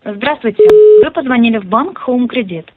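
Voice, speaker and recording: A woman's voice: a little high, expressive, moderate pace. A woman reading a scripted automated greeting as the announcer of a bank phone line. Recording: noisy narrowband telephone line.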